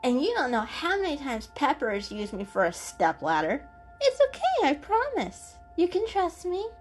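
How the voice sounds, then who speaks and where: A woman's voice: high voice, huge pitch swings, normal speed, soft background music, pitched above the performer's own voice. A woman voicing a cute, playful young female cat, bubbly, kind and reassuring, in a children's story. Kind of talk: dramatic children's story reading.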